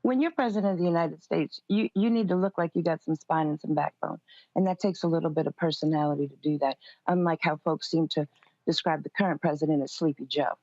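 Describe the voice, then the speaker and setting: A woman's voice: deep voice, quick pace, warm tone, dry recording. A woman speaking as a political interview guest, firm, assertive and guarded in her answers. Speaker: political guest, conservative commentator. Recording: slightly dull, dry lo-fi audio.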